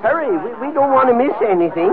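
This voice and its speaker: An actor playing a meek, flustered husband on holiday with a hesitant stammer, in a 1940s radio drama. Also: male voice, very high voice, animated, fast talker, narrow, tinny audio, crowd noise. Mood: eager, hesitant. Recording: thin, noisy 1940s radio recording.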